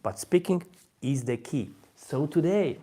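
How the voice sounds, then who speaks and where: A man from Poland, a native Polish speaker, giving an informal livestream talk with a Polish accent, halting and often rephrasing himself. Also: fairly high pitch, animated, warm tone. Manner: halting, repeats and rephrases phrases. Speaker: host, language learner giving advice.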